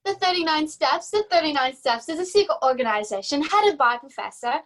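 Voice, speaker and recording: A woman's voice: sits high, lively intonation, a little fast, a bit bright. A woman acting a proud, dutiful memory performer who recites facts formally in a stage-style radio play. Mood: formal, showy.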